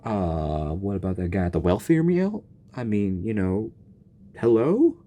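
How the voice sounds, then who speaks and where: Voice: deep voice, expressive, a little slow, warm tone. A video game streamer giving casual reaction commentary, with slangy, exasperated interjections, close to the microphone.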